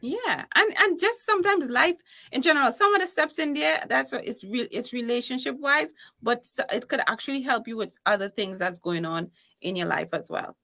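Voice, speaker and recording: A woman from Trinidad and Tobago, an author guesting on a podcast, talking in a lively, laughing, emphatic way. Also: high voice, moderate pace, gravelly. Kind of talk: casual interview chat.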